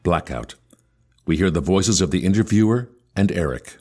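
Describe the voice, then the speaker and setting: A man's voice: sits low, expressive, normal speed, over music. A man reading stage directions for an audio musical in scripted narration.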